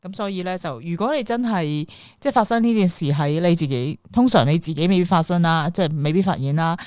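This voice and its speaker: A woman's voice: on the low side, brisk, a little mellow, no echo, clean studio sound. A woman with a Hong Kong Cantonese accent casually interviewing a guest as a radio host.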